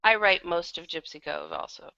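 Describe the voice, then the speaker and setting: Gravelly low-voiced woman, casual interview. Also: moderate pace, pushed and bright, no echo, dull audio. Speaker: interview guest, audio drama writer and producer.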